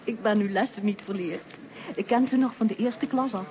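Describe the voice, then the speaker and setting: A woman's voice: mid-range voice, moderate pace, dark tone, lightly acted. An actress playing a candid, teasing married woman and former school troublemaker in a radio drama. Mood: sincere, fond. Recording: slightly noisy old radio play.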